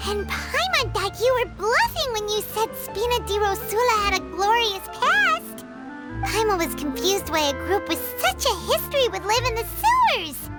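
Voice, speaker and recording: A tiny, perky fairy girl from a video game with an extremely high, squeaky childlike voice. She is curious and naive, and her pitch bounces about in a very animated way. Music plays clearly behind her. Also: normal speed. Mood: impressed. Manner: speaks of herself in the third person, exclamatory. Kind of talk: video game cutscene acting.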